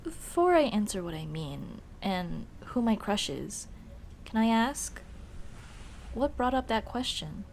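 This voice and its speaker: A woman playing a young tomboy childhood friend in an ASMR roleplay, speaking in casual boyish slang with hesitant pauses. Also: medium pitch, big pitch swings, vocal fry, a little mellow, slight background noise, heavily acted. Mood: flustered, hesitant. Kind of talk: ASMR roleplay, intimate one-sided dialogue.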